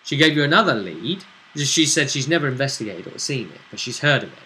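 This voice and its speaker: Bright-voiced man, explaining game. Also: medium pitch, sing-song, fast, a little hiss or hum, poor audio. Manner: explanatory, checking with tag questions. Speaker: game master and show host. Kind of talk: recapping a tabletop role-playing session conversationally.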